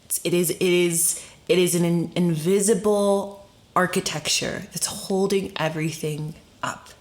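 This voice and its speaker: Lower-pitched woman, reflective podcast guest. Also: steady tone, a little slow. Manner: informal, searching phrasing with rhetorical questions. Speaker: podcast guest, story doula and facilitator. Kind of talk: conversational, reflective explanation.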